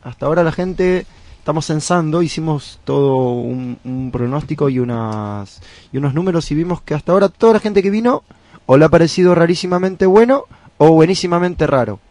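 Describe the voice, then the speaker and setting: A man's voice: a little high, lively intonation, brisk, a little mellow, no echo. An Argentine man, a touring folk musician, chatting playfully in a radio interview. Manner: playful, joking, expansive. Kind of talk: casual radio interview chat.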